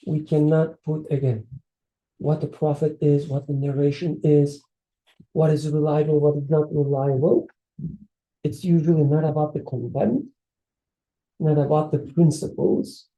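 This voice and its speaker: A man talking through ideas in a casual study-circle discussion, thoughtful and hesitant, often pausing to restart a phrase. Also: on the high side, steady tone, echoey room, dull audio. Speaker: discussion participant, researcher.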